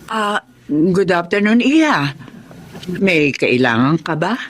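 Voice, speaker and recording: A woman's voice: on the low side, big pitch swings, slow pace, full character voice. An actress playing an aging, protective, fretful mother in a Filipino radio drama, with a Filipino accent. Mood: curious, polite.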